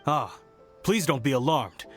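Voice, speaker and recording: An actor playing a courteous, dutiful guard in a video game, explaining things in a formal, reassuring way. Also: male voice, medium pitch, expressive, unhurried, lightly acted. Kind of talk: anime video game voice acting. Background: music.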